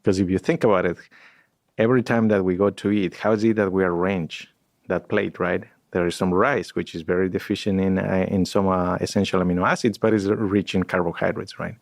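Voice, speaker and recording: A man's voice: low voice, steady tone, brisk, gravelly, no echo, clean studio sound. A man, a guest in a podcast interview, talking in a reflective way and searching for words. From Ecuador. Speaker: guest scientist.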